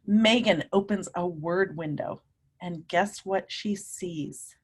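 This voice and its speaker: A woman teacher reading a picture book aloud to young children, animated and emphatic, often putting questions to her listeners. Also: lowish voice, husky. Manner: animated, emphatic, drawn-out words, coaxing young children along.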